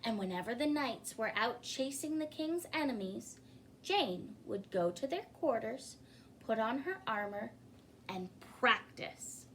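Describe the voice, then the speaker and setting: Lady doing story time for kids, reads slow n expressive, highish voice, bit noisy. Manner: expressive, pausing to ask listeners questions. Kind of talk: children's picture book read-aloud. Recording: clearly noisy recording.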